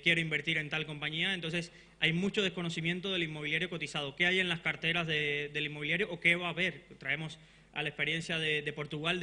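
A man in his thirties with a Cuban accent speaks at a conference lectern mic, introducing a panel. His voice is very bright and fairly high, held in a flat, even melody, broken by fillers and restarts. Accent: Cuban Spanish. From Cuba. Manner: brisk, with frequent fillers and restarts. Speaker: event host introducing a panel. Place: conference hall, standing at a lectern. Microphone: lectern microphone.

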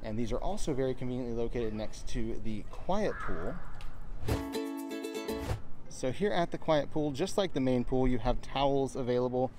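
A man narrating a walking tour of a resort in a steady, informative guide manner, filmed on a handheld camera as he walks. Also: mid-range voice, normal speed, over music. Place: outdoors and inside a resort, walking.

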